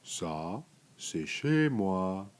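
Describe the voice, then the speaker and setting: Man reading as a low-voiced, earnest big storybook bear. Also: very animated, very slow, full character voice. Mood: contented.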